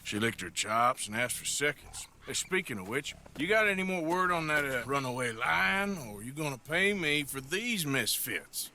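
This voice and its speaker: A man playing a gruff, sardonic American cowboy gunslinger in a video game, with a dry drawl. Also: on the high side, sing-song, normal speed, hardly any pauses, sharp tone, full character voice. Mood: unimpressed. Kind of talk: video game cutscene acting. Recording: noisy outdoor game ambience under dialogue.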